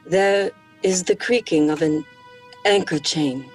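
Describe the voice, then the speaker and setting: An actress playing an entranced young woman under a vampire's spell in a radio horror drama, speaking in a slow, dreamy trance. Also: fairly low pitch. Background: soft music.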